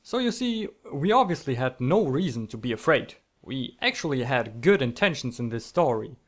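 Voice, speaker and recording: A man's voice: medium pitch, very animated, normal speed. A young man narrating scripted comic stories in a theatrical, mock-epic manner, with self-deprecating asides. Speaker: online storyteller.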